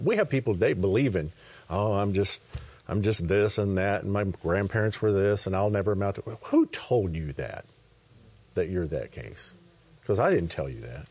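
A man's voice: low voice, darkish tone, husky, no echo. A man hosting a conversational Bible talk, affirming his guest and then explaining scripture himself. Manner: affirming, chiming in with agreement.

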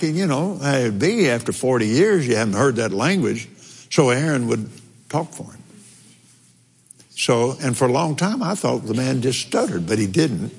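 A man's voice: medium pitch, slightly rough. Folksy male preacher in a reverberant hall. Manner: folksy, chuckling asides, unhurried. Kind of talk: folksy Bible teaching with scripture reading.

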